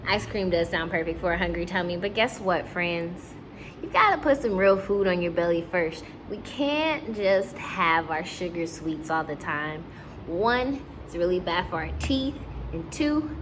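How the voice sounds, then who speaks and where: A woman teacher hosting a children's storytime, speaking to young listeners and caregivers in an animated, encouraging way. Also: on the low side, unhurried, noisy background.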